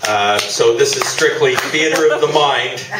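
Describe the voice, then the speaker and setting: A man's voice: medium pitch, a little slow, very noisy. A man introducing and announcing a live radio play on stage. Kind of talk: informal stage introduction, then scripted announcing. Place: small theatre, live performance. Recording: echoey room, distant mic.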